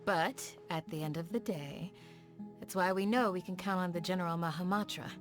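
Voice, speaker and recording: Woman acting a lowish, steady, protective bodyguard. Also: moderate pace, music in the background, close to an everyday voice. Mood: reassuring. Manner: calm, considerate. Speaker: game character voice actor. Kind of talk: voiced game dialogue.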